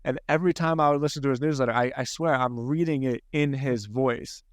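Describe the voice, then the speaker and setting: A man's voice: medium pitch, steady tone, fairly quick, no room sound, clean studio sound. A man with a Philadelphia accent, hosting a podcast in casual, rambling conversation with frequent restarts. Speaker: podcast host.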